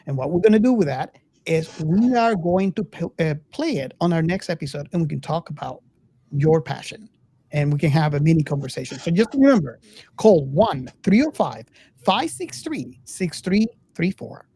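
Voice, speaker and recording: Lively podcast host, animated mid-pitched voice. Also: normal speed, slightly rough. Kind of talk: casual hosting chat.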